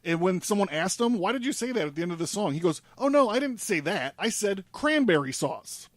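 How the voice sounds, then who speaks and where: A man's voice: sits high, very animated, fairly quick, dry recording. A man presenting a case in casual studio talk, in an informal, rambling and sweary way. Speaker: presenter laying out a conspiracy theory.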